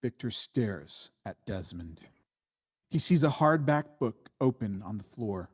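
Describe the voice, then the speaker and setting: A man reading screenplay stage directions as dramatic narration, in a deliberate, suspenseful way. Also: lowish voice, animated, husky.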